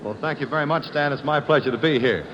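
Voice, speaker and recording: A man's voice: a little high, fast talker. A man working as a radio announcer, speaking in a formal 1950s broadcast style from a ballroom stage. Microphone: stage broadcast microphone. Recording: very noisy live radio recording, loud crowd. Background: loud crowd.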